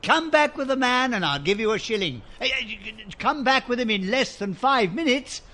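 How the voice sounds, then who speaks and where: An actor playing an elderly English former miser, giddy and overjoyed, in an excitable, stammering, theatrical radio drama style. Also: male voice, very high-pitched, moderate pace, heavily acted. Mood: merry, excited. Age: older.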